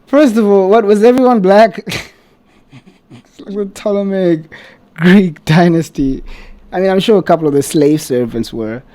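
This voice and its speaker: A man's voice: high voice, measured pace, dark tone. Exasperated guy ranting at a show, sarcastic, bit of hiss in the background. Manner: sarcastic, exasperated, swears, laughs often. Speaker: reaction video host. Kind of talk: casual reaction commentary.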